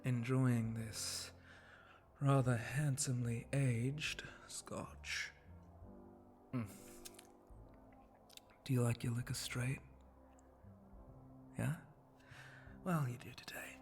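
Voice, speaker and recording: Man voicing a breathy, flirty spider-monster bartender. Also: medium pitch, fairly even intonation, faint music behind. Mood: teasing, coaxing. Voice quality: breathy, often dropping to a whisper. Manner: slow, seductive, teasing drawl with soft chuckles. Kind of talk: intimate ASMR roleplay.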